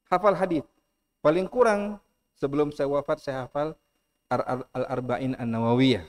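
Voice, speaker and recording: Middle-aged man, Indonesian accent, mosque lecture, earnest, fairly high voice, repeats himself for emphasis. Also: a little mellow. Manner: earnest, repeats key phrases, recites Arabic slowly. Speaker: preacher.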